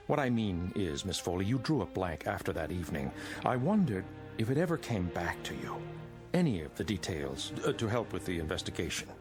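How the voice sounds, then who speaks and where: An ingratiating middle-aged consultant in a vintage television drama. His low voice moves expressively as he pries politely, pausing to apologize. Some music sits behind him. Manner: smooth-talking, apologetic hesitations, prying. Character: ingratiating, probing male financial consultant, unsettlingly polite. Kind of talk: mid-century American TV drama acting.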